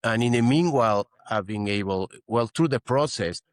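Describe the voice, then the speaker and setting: A man's voice: mid-range voice, lively intonation, moderate pace, no echo. A middle-aged man from Peru, a guest physician in an interview, explaining earnestly and often saying "you know". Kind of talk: interview conversation.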